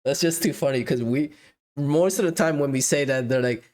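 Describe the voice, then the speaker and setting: A man with a mid-pitched voice hosts a casual podcast interview. He reads out questions from listeners and keeps things light and joking. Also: normal speed, darkish tone. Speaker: podcast host.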